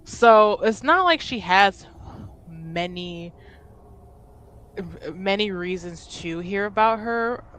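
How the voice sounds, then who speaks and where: A woman's voice: on the low side, fairly bright tone, a little hiss or hum, rough recording. A woman playing a tabletop roleplaying game, chatting casually and hesitantly as she thinks aloud about her character. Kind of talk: casual improvised roleplay chat.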